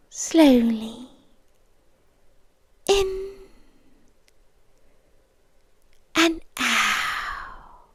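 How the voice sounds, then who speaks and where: Slow, high-voiced British vampire girlfriend, played by a woman. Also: no room sound, heavily acted. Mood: soothing, controlling. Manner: breathy, drawn-out, often dropping to a whisper. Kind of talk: intimate ASMR roleplay. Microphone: close ASMR microphone.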